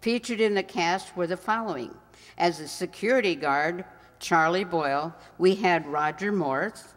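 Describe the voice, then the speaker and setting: A woman announcer reading closing credits in a radio style, halting and stumbling over names. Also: fairly low pitch. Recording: reverberant hall, audience noise.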